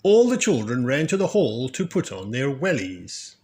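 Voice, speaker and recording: A man giving an expressive storybook narration for children, with deliberate, animated phrasing. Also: mid-range voice. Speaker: storybook reader, children's read-aloud channel.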